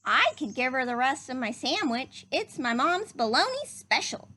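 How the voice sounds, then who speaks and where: A woman's voice: high voice, sing-song, moderate pace, pushed and bright, full character voice. A woman voicing earnest, wistful young schoolchildren in a storybook, with a simple singsong delivery. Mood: earnest, helpful.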